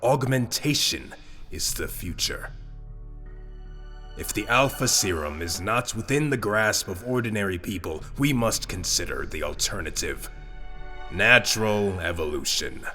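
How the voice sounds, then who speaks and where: Fervent male researcher-orator for fiction podcast. Deep, intense voice, grave and deliberate lecture style, soft music underneath. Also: expressive.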